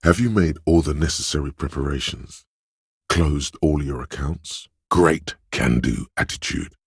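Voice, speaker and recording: A man's voice: a little slow, dry recording, clean studio sound, full character voice. A man playing a smug, goading inner voice in a video game, suave and theatrical, savoring his words with dramatic emphasis. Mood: encouraging, flamboyant. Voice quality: very deep, husky.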